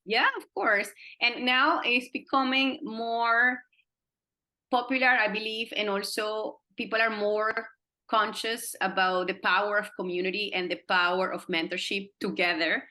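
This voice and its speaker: A woman with a bright, clear voice hosts a podcast interview. She asks long questions in an encouraging tone, often restarting her sentences along the way. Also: medium pitch, steady tone, unhurried. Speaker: podcast host.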